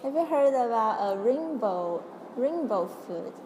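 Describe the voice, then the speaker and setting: Slow, hesitant woman interviewer. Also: fairly high pitch, expressive. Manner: hesitant, halting with many fillers. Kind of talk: interview questions. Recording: loud crowd noise behind.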